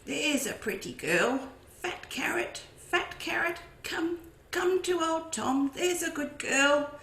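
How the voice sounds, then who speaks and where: Woman doing a slow, crooning old farmer from a kids' storybook, coaxing, voice floated up high, in an echoey room. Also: lively intonation, vocal fry, a bit bright, heavily acted.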